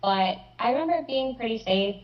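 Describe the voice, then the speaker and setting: A woman's voice: a little high, expressive, normal speed, lo-fi sound. A young woman from Mexico raised in Kentucky, with a light Kentucky accent, speaking reflectively as an interview guest over a video call. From Mexico, raised in Northern Kentucky. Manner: reflective, many fillers.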